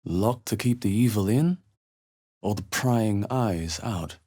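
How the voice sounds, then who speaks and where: A man playing a wary, wry young adventurer in a video game, husky and musing to himself as he observes. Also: on the low side, slow, warm tone. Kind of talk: video game character voice lines, muttered observations.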